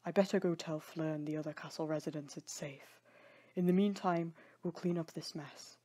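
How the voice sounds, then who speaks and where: A woman playing a stern, protective lord with a forceful, commanding manner in a dramatic audio story. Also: sits low, steady tone, moderate pace. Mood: grim authority. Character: stern wronged lord, man, protective and indignant.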